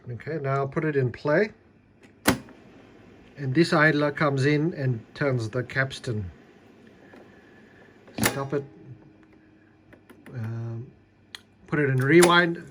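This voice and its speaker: A man's voice: mid-range voice, a bit bright, slight background noise. A man giving a casual, unscripted hands-on demonstration, explaining things a little hesitantly as he goes. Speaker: hobbyist demonstrating a repaired tape deck.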